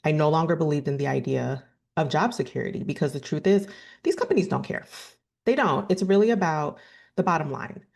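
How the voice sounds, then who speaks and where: A woman in her thirties with a deep register, earnestly telling a personal story to camera and often correcting herself mid-sentence. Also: animated, fairly quick, gravelly, darkish tone. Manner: earnest, thoughtful, with frequent self-corrections and "you know". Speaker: vlogger.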